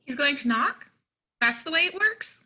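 Actress as bright, eager, naive young-sounding woman. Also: high-pitched, lively intonation, normal speed, heavily acted. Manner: wide-eyed, hesitant. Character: eager, naive, impatient small-town woman, easily flustered. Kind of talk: play reading.

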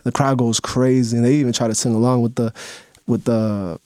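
A man's voice: medium pitch, fairly even intonation, a little fast, mellow, dry recording. Laid-back young man, dry studio sound. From Dominican Republic. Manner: loose, laughing, lots of fillers. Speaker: guest videographer. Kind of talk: casual radio interview chat. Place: radio studio.

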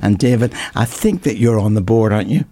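Husky, low-voiced male radio host. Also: a little fast, mellow, dry recording. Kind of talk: conversational radio interview. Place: radio studio.

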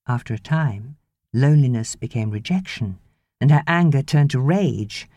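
A woman narrating an audiobook novel in an expressive, measured storytelling manner. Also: rumbling low, dry recording.

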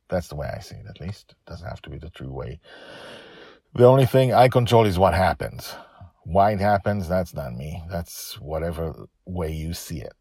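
A middle-aged man speaking as an author and podcast host, dramatic and emphatic, with deliberate pauses and repeated phrases. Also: very deep voice, a little mellow, slightly rough. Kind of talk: emphatic solo storytelling podcast commentary and credits.

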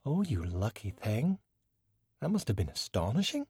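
A man's voice: on the low side, huge pitch swings, moderate pace, darkish tone, slightly rough, dry recording, clean studio sound, exaggerated voice. A man voicing a poised, vain and sly woman mage in an audiobook, with an arch, theatrical manner. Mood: arch, curious.

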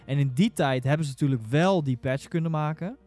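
A man in a casual podcast discussion who starts haltingly and repeats his words. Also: a little high, a little mellow, soft background music. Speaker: podcast panelist.

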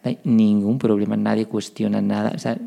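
A man's voice: lowish voice, level tone, brisk, creaky, warm tone. A man with a Spanish accent from Barcelona, a band member explaining himself emphatically in a casual interview. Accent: Spanish, from Spain.

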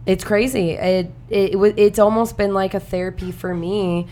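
A woman's voice: medium pitch, steady tone, normal speed, warm tone. A young woman hosting a podcast, chatty and casual, with many fillers. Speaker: podcast host.

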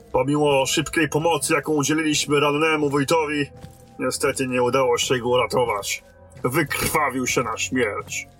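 An actor playing a battle-hardened lieutenant in command of a besieged stronghold in a fantasy audio drama, with a commanding manner. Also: male voice, on the high side, a bit bright. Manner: commanding, barking orders in battle, measured when talking.

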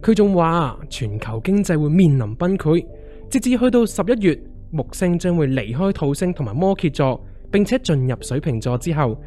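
An actor with a Hong Kong accent playing a calm, reassuring investor friend, expressive and explanatory, in a scripted radio drama. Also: male voice, fairly high pitch, normal speed, soft background music. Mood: ominous explaining.

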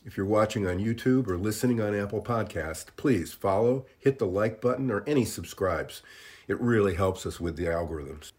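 A man reads a podcast's scripted opening evenly, like an announcer. His voice is quite deep. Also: animated, normal speed, a little mellow. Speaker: show announcer.

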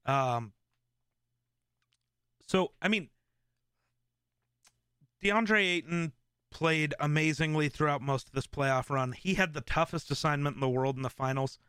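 A man hosting a live stream, chatting casually in a rambling way with lots of fillers and restarts, in a relaxed voice. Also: on the high side, no room sound, clean studio sound. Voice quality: relaxed, smooth voice. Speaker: streamer host.

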